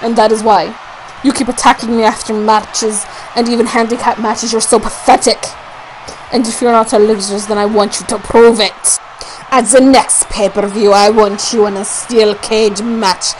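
A woman's voice: a little high, level tone, normal speed, close to an everyday voice, low-quality recording. A woman voicing an indignant, scornful female wrestler giving a challenge promo, with faint background noise.

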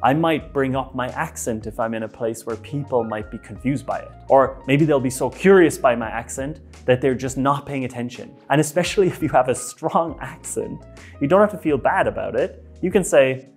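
A man in his thirties with an Irish accent mixed with American, giving a casual, self-deprecating lesson on facilitation in a bright office. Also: mid-range voice, husky, faint music behind. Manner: lively, chatty, self-deprecating, with quick restarts. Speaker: facilitation trainer. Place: bright office room by a whiteboard.

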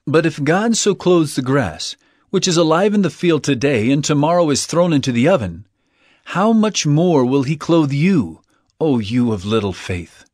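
An actor playing an earnest male teacher of parables in a dramatized audio Bible, speaking in an emphatic, measured, declamatory way. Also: on the high side, animated, darkish tone, no echo, studio quality. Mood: reassuring, earnest.

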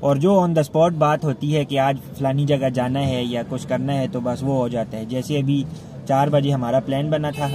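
A young man from Pakistan chatting casually to viewers in a travel vlog, filmed with a camera held at arm's length outdoors and in a car. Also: a little high. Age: young adult. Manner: chatty, addresses viewers directly. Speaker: vlogger. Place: outdoors, in a car and on a street and riverbank. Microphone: phone or camera at arm's length. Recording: noisy outdoor phone recording.

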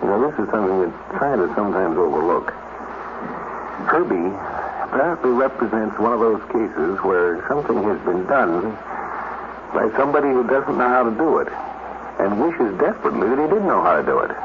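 A man narrating and commenting as the host of a 1950s radio program. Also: mid-range voice. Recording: noisy, tinny 1950s radio recording.

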